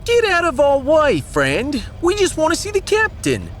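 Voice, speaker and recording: A man's voice: very high-pitched, huge pitch swings, normal speed, a bit bright, faint music behind, voice pushed up, full character voice. A man voicing a whiny, indignant refugee in a sci-fi audio drama, with colloquial grumbling.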